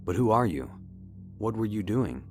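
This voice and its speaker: A man's voice: fairly low pitch, big pitch swings, normal speed, a little mellow. An actor playing an earnest, wary amateur investigator and worried father in an adventure game, questioning in a guarded, restrained way. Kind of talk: adventure game dialogue acting. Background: music.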